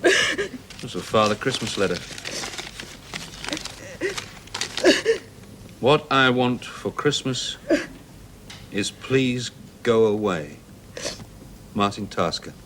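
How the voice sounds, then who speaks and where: An actor playing a self-assured, paternal research boss, coaxing and persuasive in 1970s television drama style. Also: male voice, lowish voice, huge pitch swings, slow pace, pauses often, fairly bright tone, heavily acted. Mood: light, coaxing. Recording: faintly hissy old television soundtrack.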